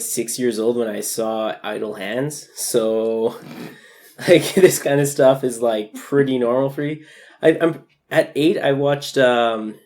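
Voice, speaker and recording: A man's voice: mid-range voice, darkish tone. A man co-hosting a casual movie podcast, upbeat and self-deprecating. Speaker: podcast co-host.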